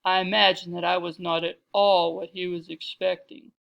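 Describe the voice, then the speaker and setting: A woman voicing a dignified female mastiff in a storybook, deep, refined and measured. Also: little pitch movement, gravelly, lo-fi sound. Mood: composed. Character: cultured, dignified female mastiff lady dog.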